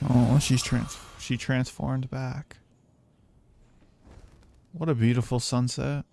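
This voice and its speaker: A man live-streaming a game, chatting casually and reacting in a halting, soft-hearted way. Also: medium pitch, flat delivery, creaky, a little hiss or hum.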